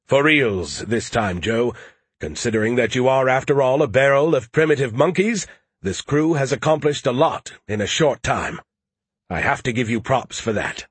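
A man's voice: medium pitch, lively intonation, normal speed, slightly rough, no echo. A man voicing a smug, condescending male artificial intelligence in a pompous, mocking, theatrical way for a story. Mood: mock congratulatory.

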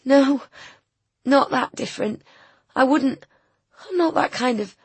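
Woman voicing a tender young woman, raised pitch. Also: moderate pace, slightly rough, dry recording. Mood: bewildered, upset. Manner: gentle, pleading, higher-pitched than the narration. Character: tender young woman, pitying, earnest, emotional. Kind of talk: audiobook character dialogue.

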